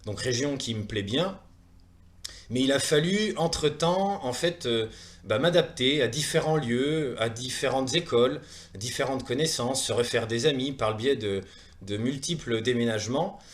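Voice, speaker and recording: A man in his thirties with an accent from France gives a semi-prepared presentation in an earnest, hesitant way, often pausing and repeating words. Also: mid-range voice. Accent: French from France. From France, Saumur in Maine-et-Loire. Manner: earnest, hesitant, with frequent pauses and word repetitions. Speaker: presenter of a wellness training programme.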